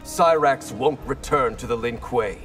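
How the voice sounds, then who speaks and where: An actor playing an earnest, concerned young ninja fighter in a video game, direct and questioning. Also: male voice, mid-range voice, unhurried, close to an everyday voice. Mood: reproachful. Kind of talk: video game character dialogue. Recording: loud music under the voice. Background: loud game music.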